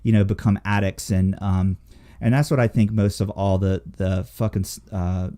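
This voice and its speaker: A man with a mellow, relaxed tone hosts a casual talk show. He speaks bluntly and rambles, swearing freely as he goes. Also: deep voice, normal speed. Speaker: podcast host. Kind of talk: casual chat, profanity-laden.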